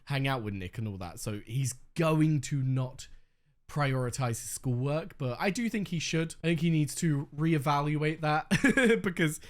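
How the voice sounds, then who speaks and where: Hoarse young guy chatting through a reaction, candid, swears a bit. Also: fairly high pitch, expressive, a little slow. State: slightly hoarse, losing his voice. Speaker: reaction video host. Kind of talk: casual reaction commentary.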